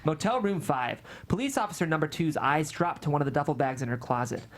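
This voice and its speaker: A woman's voice: sits low, lively intonation, quick pace. A woman reading screenplay stage directions and scene headings as narrator at a live table read.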